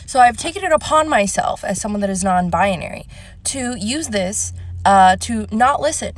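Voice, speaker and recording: Defiant voice explaining to camera. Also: female voice, mid-range voice, animated, moderate pace, dry recording. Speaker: social media poster. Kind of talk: casual talk to camera.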